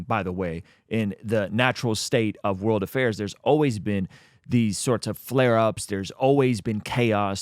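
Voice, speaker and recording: A man's voice: medium pitch, dry recording, clean studio sound. A man from Azerbaijan hosting his own channel, giving earnest, emphatic commentary straight to his viewers. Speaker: YouTube host. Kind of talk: faith-based commentary on current events.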